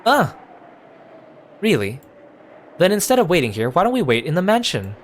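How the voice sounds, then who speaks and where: A man's voice: on the high side, huge pitch swings, moderate pace, slight background noise. A man reading the lines of a distraught, remorseful mother who pleads for forgiveness, in a visual novel read aloud on stream. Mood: gently coaxing. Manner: pleading, apologetic.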